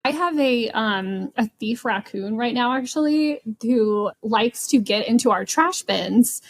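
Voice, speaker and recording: A woman's voice: fairly high pitch, unhurried. A woman podcast panelist telling personal anecdotes in an informal, conversational way. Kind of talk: casual chat, personal anecdotes.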